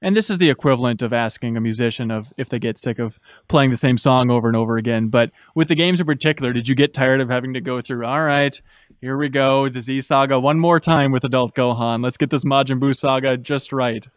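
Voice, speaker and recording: A man's voice: medium pitch, fairly quick, dry recording. A man in his thirties interviewing a guest casually as a podcast host, close to a studio microphone. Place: indoor podcast studio with brick wall and shelves. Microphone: desk microphone up close.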